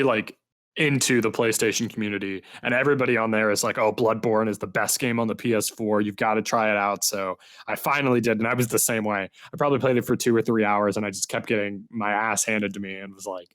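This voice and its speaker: A man's voice: lowish voice, fairly bright tone. A man hosting a podcast in a casual, conversational style, talking loosely and informally. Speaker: podcast host and game reviewer. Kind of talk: casual podcast interview chat.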